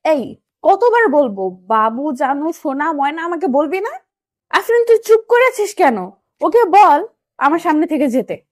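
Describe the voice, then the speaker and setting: A woman's voice: high voice, big pitch swings, unhurried. A woman voicing a feisty, brash young female university student, scolding sharply in a romantic audio story. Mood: exasperated.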